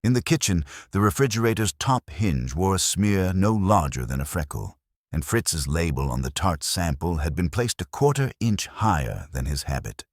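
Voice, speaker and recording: A man's voice: low-pitched, sing-song, normal speed, no room sound, clean studio sound. A man reading a detective story aloud as a scripted audiobook narration.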